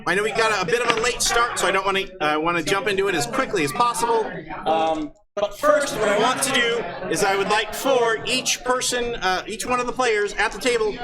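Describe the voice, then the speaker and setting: A man hosting a live game show as game master, speaking off the cuff in a halting, joking way. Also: high-pitched. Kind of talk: unscripted live stage introduction. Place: convention hall with live audience. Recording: loud crowd, live convention stage.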